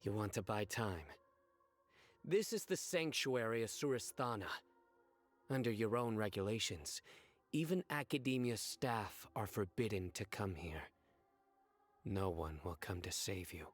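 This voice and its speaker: Stoic righteous judge, lowish voice, grave, deliberate, commanding, dramatic anime game acting. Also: male voice. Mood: stern, resolute. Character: stern, righteous, stoic male judge and enforcer.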